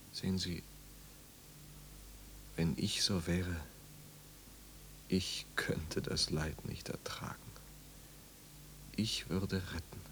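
An actor playing a tormented, gentle but desperate young man sinking into madness, halting and feverish, often slipping into whispers, in 1950s radio drama style. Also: low voice, heavily acted. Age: young adult. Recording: noisy, humming 1950s radio recording.

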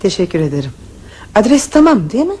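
A woman's voice: medium pitch, big pitch swings, fairly quick, dark tone, slightly rough. An actress playing a polite, composed young lady applicant.

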